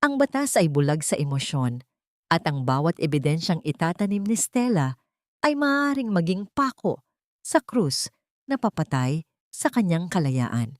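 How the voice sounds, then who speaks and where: Emotive low-voiced woman storyteller, lively pitch. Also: a little slow, dry recording, studio quality. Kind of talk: scripted dramatic story narration.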